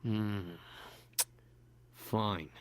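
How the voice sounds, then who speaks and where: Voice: deep voice, steady tone, very slow, clear, resonant tone, no room sound. An actor playing a brash, impulsive, hot-headed young man in a visual novel, cocky and defensive. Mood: surly.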